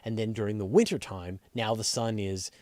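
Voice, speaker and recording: A man's voice: lowish voice, steady tone, normal speed, a little mellow, dry recording. A man hosting a casual live science Q&A, reading out questions and answering off the cuff, thinking aloud and rephrasing as he explains in a conversational way. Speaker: host of a live astronomy Q&A, science journalist.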